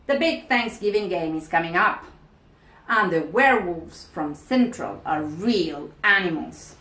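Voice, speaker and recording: A woman's voice: lowish voice, animated, a little slow, a bit bright. Woman voicing a gloomy old janitor, dramatic and echoey. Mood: worried foreboding. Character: old male school janitor, gloomy and worried. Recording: echoey room.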